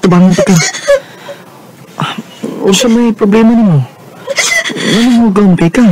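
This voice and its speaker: An actor playing a casual, joking office buddy in a radio drama, with a Filipino accent. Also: male voice, high voice, unhurried. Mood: casual, concerned. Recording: noisy, with ambience behind.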